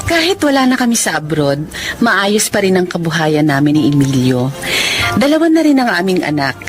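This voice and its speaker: A woman's voice: on the low side, very animated, normal speed. An actress playing a loving, contented young wife and mother in a sentimental, earnest Filipino radio drama style. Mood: grateful, sincere. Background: music.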